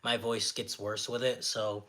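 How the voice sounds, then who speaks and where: A man with a naturally raspy, slightly nasal voice, hosting a casual livestream, reading viewer comments aloud and answering them in a friendly, encouraging way, sniffling often. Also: on the low side, little pitch movement, a little slow, a bit bright. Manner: friendly, encouraging, chatty with filler words. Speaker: livestream host.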